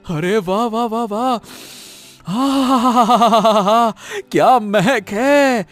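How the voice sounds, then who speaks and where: A man playing an excitable, greedy male jackal in an animated moral story, exclaiming and repeating his words. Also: very high voice, extremely slow, no echo, faint music behind, exaggerated voice. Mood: greedy delight.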